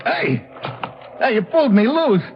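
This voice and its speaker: An actor playing a wry, tough adventurer hero in an old radio drama, colloquial and sardonic. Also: male voice, extremely high pitch, sing-song, measured pace, background noise, full character voice. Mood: nervous, strained. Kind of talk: 1950s radio adventure acting with first-person narration. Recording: muffled old radio recording with music.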